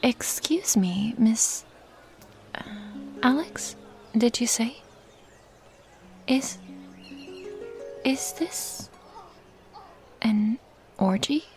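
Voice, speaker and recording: A wary young woman played by a woman in an audio drama. She speaks in a raised, breathy voice with hesitant, anxious phrasing. Light music plays behind her. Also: a little high, expressive, drawn-out words, pauses often, pitched above the performer's own voice, full character voice. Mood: shocked. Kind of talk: audio drama character acting.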